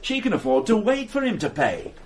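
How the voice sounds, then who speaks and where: An actor playing a dogged, excitable police detective in a radio mystery drama, reasoning aloud with emphatic insistence. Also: male voice, high voice, brisk, breathy edge, exaggerated voice. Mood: musing, deductive. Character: dogged male police detective, excitable, sure of his theory. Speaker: investigator.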